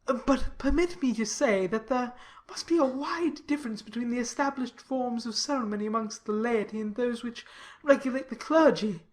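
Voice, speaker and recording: Lady doing a fussy pompous clergyman for an audiobook, really high voice, wordy and obsequious. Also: normal speed, lightly acted. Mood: pompous, insistent. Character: pompous, obsequious clergyman, self-important and excitable. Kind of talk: dramatized classic novel reading.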